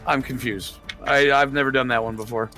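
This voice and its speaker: A man's voice: medium pitch, fairly even intonation, a little fast, bright, forceful tone, soft background music. A man playing a tabletop game, chatting casually with the group.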